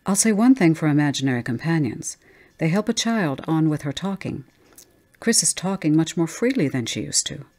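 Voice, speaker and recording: A woman's voice: deep voice, expressive, moderate pace, darkish tone. A woman voicing an easygoing, practical husband in a story, in a breezy, dismissive, reasoning manner.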